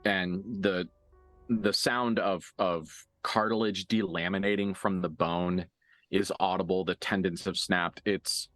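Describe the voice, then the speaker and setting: A man improvising narration as a game master for a horror tabletop game, conversational and halting, with graphic detail. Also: low-pitched, steady tone, a bit bright, dry recording, faint music behind.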